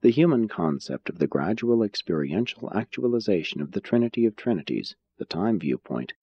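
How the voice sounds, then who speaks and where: A man narrates a scripted spiritual audiobook with a low-leaning, very mellow voice. His intonation moves widely, yet the phrasing stays solemn and deliberate. The recording is slightly dull. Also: breathy edge. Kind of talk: scripted audiobook narration of philosophical religious text.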